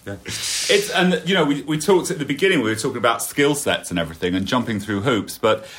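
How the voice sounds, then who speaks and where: A man's voice: medium pitch, animated, quick pace, fairly bright tone. A man hosting a conversational interview, reflective and fond of tag questions. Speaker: podcast host.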